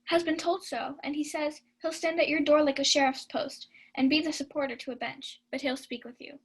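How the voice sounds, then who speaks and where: A young woman playing a sharp-tongued, chiding lady's maid in a theatrical radio Shakespeare play. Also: high voice, fairly even intonation, fairly quick. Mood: reporting. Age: young adult. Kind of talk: 1940s radio Shakespeare acting.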